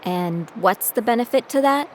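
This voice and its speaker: A woman's voice: fairly high pitch, animated, normal speed, noisy background, close to an everyday voice. A woman playing a determined young female trainee who tells her own story in an audio drama, in scripted first person. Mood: indignant, pained. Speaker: narrator and lead character of an audio drama. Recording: studio voice over prominent music.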